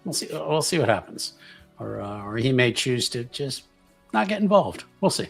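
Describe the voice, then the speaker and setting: A man's voice: mid-range voice, big pitch swings, moderate pace, gravelly, husky, soft background music. A man, a player in a tabletop roleplaying show, speaking casually in a hesitant, understated way. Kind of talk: casual self-introduction.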